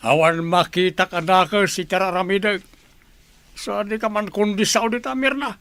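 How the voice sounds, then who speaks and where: A man's voice: high-pitched, fairly even intonation, moderate pace, fairly bright tone, no echo. A man with a Filipino accent playing a greedy, defiant village landowner in a radio drama. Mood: defiant, irritated.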